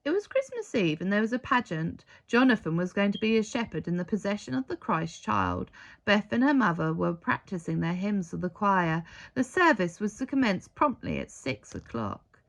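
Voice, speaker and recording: Female read-aloud narrator, picture-book delivery, very animated, slightly creaky at times. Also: mid-range voice, normal speed. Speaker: story reader.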